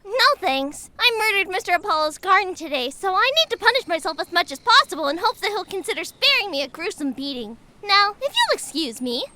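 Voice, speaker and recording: Excitable, very high-pitched little girl. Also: fairly quick, hardly any pauses, a little hiss or hum, heavily acted. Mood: gloomy. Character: excitable ten-year-old girl villager, dramatic and easily flustered. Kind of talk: animated parody dub acting.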